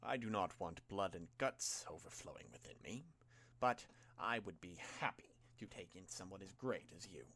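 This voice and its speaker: A man voicing a calm, menacing mist villain with a breathy, whispery voice and formal, measured speech. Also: low voice, heavily acted. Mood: coldly menacing. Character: calm menacing mist villain, coldly explaining his deadly task.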